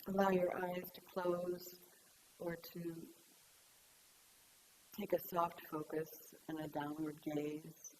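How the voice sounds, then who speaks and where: A woman meditation teacher leading a guided meditation in gentle, unhurried phrases with long pauses. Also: on the low side, fairly even intonation, poor audio. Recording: clear background hiss.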